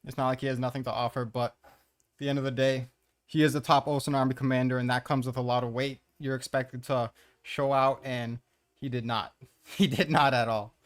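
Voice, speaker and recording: A man's voice: medium pitch, flat delivery, a little fast. Blunt, sweary man ranting through a countdown. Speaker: host of a manga ranking commentary. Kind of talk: casual opinionated countdown commentary.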